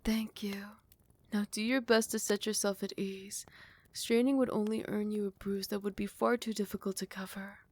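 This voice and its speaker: A woman playing a possessive yet tender royal vampire in love, speaking in formal, old-fashioned phrasing with breathy half-whispers in an intimate ASMR roleplay. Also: medium pitch, steady tone, normal speed, a little mellow, close to an everyday voice. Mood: tender, pleading.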